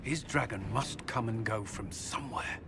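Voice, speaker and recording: A man's voice: medium pitch, moderate pace, vocal fry, background noise, natural voice. An actor, a man, playing a serious, practical military adviser in a fantasy game, with a pragmatic manner. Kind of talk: dramatic fantasy game acting. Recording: loud music behind the voice.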